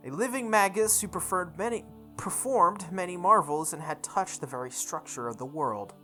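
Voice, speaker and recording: A woman hosting a visual novel playthrough, reading the text aloud in a measured way and commenting casually. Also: on the low side, pushed and bright, faint music behind. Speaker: gaming video host.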